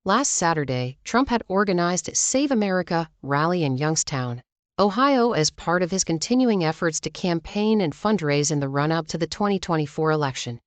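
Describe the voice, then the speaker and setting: Low-pitched woman reading scripted news. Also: animated, moderate pace, no room sound. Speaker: news channel narrator.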